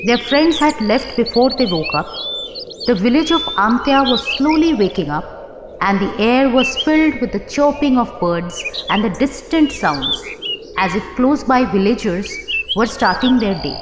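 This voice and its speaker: A woman's voice: on the high side, animated, a little slow, noisy background. A woman narrating a scripted horror story as an audio drama storyteller. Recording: echoey, long reverb under music. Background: music and birdsong.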